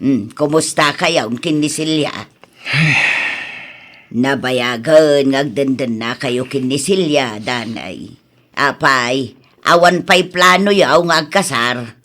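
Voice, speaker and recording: A woman's voice: very deep voice, slow pace, fairly bright tone, full character voice. An actress playing an older mother, a firm, homely family elder in a radio drama. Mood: inquiring.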